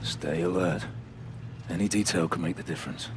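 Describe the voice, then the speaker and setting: An actor playing a steady veteran soldier held captive, speaking in a terse, guarded way. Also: male voice, low-pitched, normal speed, over music, natural voice.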